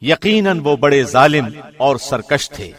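A man reading a scripture translation from a script, in a formal, measured radio presenter's delivery. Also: mid-range voice, expressive, a bit bright.

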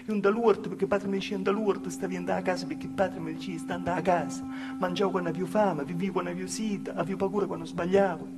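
A male stage actor with a Calabrian accent playing a simple, honest village woman in an intimate dialect monologue, confiding and halting, with repeated phrases. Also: sits high, little pitch movement, natural voice. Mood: plain, wistful. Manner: confiding, halting, repetitive storytelling. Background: live music.

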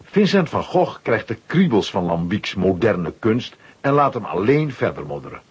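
A man with a husky voice narrating a children's audio drama in a scripted storytelling style. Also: on the low side, very animated, normal speed. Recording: slightly dull recording.

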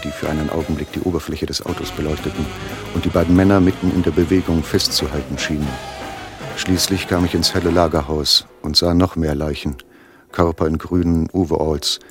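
An actor in a German radio drama playing an uneasy, reluctant male accomplice in a thriller. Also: extremely low pitch.

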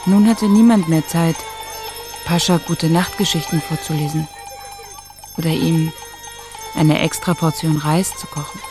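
A woman narrating a radio drama about a child in scripted, plain and measured storytelling style. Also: low voice, steady tone, music in the background.

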